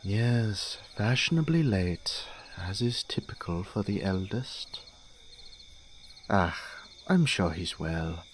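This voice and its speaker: A man's voice: lowish voice, expressive, takes it slowly, a little hiss or hum. A man playing a teasing young nobleman in a fantasy audio drama, speaking in a formal, archly polite manner. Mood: polite, needling. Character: teasing, urbane young nobleman, elder brother in fantasy court.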